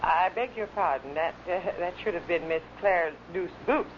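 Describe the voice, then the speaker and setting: A man's voice: high voice, moderate pace. A man announcing on the radio in a vintage broadcast manner. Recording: muffled, noisy old radio recording.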